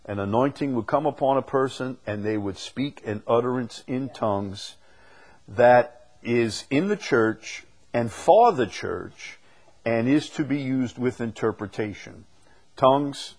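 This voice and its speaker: A man teaching a Bible study as a preacher, emphatic, moving between loud declaiming and quieter asides. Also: medium pitch. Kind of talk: teaching with questions from the congregation.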